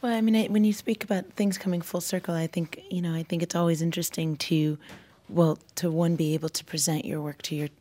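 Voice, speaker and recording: A woman interviewer with a notably low pitch and a soft, mellow tone. She builds her questions in long, winding sentences, restarting often and filling gaps with you knows. It sounds like a relaxed, candid sit-down talk. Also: fast. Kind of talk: candid conversation.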